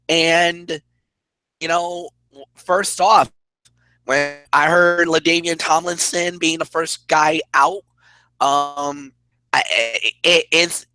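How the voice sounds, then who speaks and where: A man with a hoarse, raspy voice, co-hosting casual sports talk and rambling excitedly with restarts. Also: on the high side, no echo, low-quality recording. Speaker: co-host.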